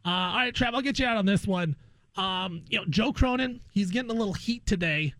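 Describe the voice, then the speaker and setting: Informal man hosting sports radio, higher-pitched voice. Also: a little fast, a bit bright, dry recording. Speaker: guest host of sports radio show. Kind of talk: conversational sports radio interview.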